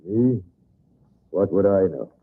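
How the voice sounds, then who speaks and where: An actor playing a tough, plain-spoken outlaw gang member with a laconic cowboy drawl in a radio western. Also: male voice, low voice, animated, takes it slowly, husky, heavily acted. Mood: defensive. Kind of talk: old-time radio western acting. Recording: tinny, noisy old radio recording.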